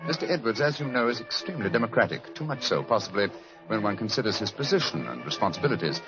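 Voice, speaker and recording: An actor playing an urbane, commanding English detective with a British accent, speaking precisely in an old radio drama. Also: male voice, fairly low pitch, big pitch swings, quick pace. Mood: confidential, assured. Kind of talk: 1940s radio acting. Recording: dull old radio recording over music.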